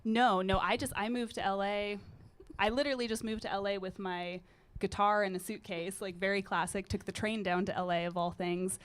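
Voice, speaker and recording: A woman in her thirties, a singer-songwriter with a low, slightly gritty voice, chatting casually and loosely as a guest in a radio studio. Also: brisk, clean tone. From British Columbia, Canada. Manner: relaxed, rambling, with frequent fillers. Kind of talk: casual interview chat. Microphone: studio microphone.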